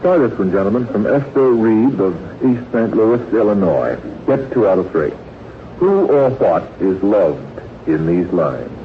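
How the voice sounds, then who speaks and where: A man with a low voice hosts a quiz on 1940s radio, reading out questions and ribbing the contestants. The sound is thin and narrow, with a light layer of old broadcast noise. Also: lively intonation, unhurried. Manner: bantering, teasing. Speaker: quiz show host.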